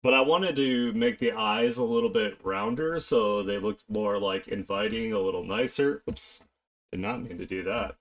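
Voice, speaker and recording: A man chatting casually on an art live stream, talking haltingly and half to himself as he works. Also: on the low side, fairly bright tone. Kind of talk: casual live-stream chat while drawing.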